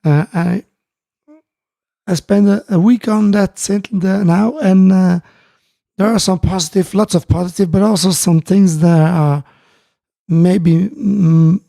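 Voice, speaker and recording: Gravelly, mellow man. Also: high-pitched, fairly even intonation, no echo. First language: Dutch. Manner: halting, many fillers and restarts, greets chat viewers. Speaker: live-stream host. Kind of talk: casual live-stream chat. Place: home music studio.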